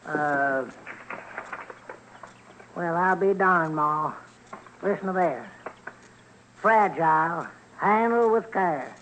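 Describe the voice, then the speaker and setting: A folksy country fellow in a radio drama. His voice is high for a man, with a slow, deliberate drawl and homespun plainness. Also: fairly bright tone, a little hiss or hum, low-quality recording. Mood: puzzled, plodding. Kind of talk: old-time radio documentary acting.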